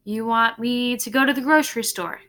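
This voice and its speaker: A woman's voice: on the high side, moderate pace, fairly bright tone, natural voice. A woman reading the part of a patient, puzzled father who coaxes and questions his daughter in a storybook. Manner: questioning, coaxing.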